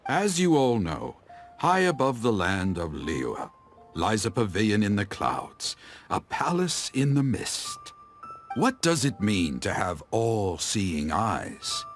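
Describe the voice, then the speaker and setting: An actor playing a theatrical teahouse storyteller in a game, dramatic and flowery, savoring each phrase. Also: male voice, lowish voice, sing-song, slow, faint music behind. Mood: grand, inviting. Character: theatrical male teahouse storyteller spinning grand tales. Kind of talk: storytelling in an English game dub.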